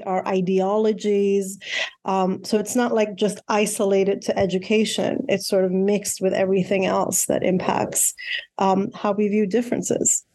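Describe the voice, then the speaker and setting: Mellow-voiced woman, thoughtful and halting. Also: mid-range voice. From Iran. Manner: thoughtful, many ums and restarts. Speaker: learning specialist guest. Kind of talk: interview answers.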